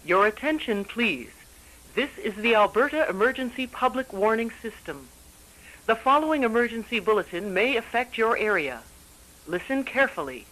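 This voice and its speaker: A woman's voice: on the high side, animated, moderate pace, fairly bright tone, poor audio. A woman reading a scripted public warning bulletin as an emergency system announcer.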